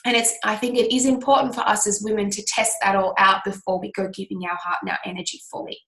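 A woman's voice: mid-range voice, flat delivery, quick pace, fairly bright tone, echoey room. A woman with a cold, her voice scratchy and nasal, giving earnest, reflective relationship advice to listeners. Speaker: coach answering listener questions. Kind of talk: casual advice talk.

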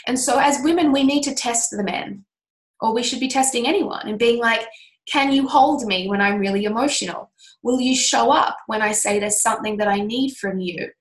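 A woman's voice: on the high side, moderate pace, roomy sound. A woman with a cold, her voice scratchy and nasal, giving earnest, reflective relationship advice to listeners. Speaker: coach answering listener questions. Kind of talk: casual advice talk.

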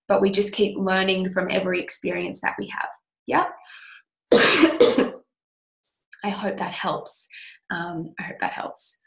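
A woman's voice: mid-range voice, reverberant. A woman with a cold, her voice scratchy and nasal, giving earnest, reflective relationship advice to listeners. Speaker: coach answering listener questions. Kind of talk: casual advice talk.

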